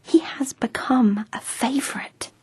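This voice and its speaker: A woman's voice: a little high, unhurried, husky, no room sound. An actress playing a lovestruck young noblewoman in a radio drama, talking in an eager, breathless, whispery way. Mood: proud, whispery.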